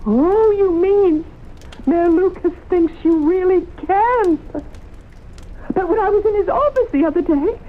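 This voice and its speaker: A woman's voice: extremely high pitch, unhurried. An actress playing an anxious, insistent small-town married woman who pleads urgently in an old radio drama. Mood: earnest, unsettled. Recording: tinny, noisy old radio broadcast recording.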